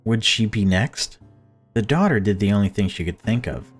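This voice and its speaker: Male let's player, low register, reading grim story narration aloud with dramatic delivery. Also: expressive, normal speed, husky, faint music behind.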